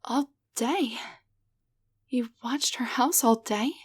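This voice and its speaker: Woman playing a breathy, high-voiced little doll woman. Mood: gentle, earnest. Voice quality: soft, breathy. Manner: hesitant, gentle, halting. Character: timid, grateful tiny young woman turned enchanted doll. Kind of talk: intimate roleplay audio drama.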